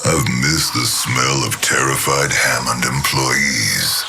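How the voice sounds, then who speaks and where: Gravelly, menacing killer machine. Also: male voice, rumbling low, steady tone, over music, heavily acted. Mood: menacing relish. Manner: menacing, sardonic, drawn-out delivery. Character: murderous, mocking killer machine, male, menacing and contemptuous. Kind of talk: video game character voice lines.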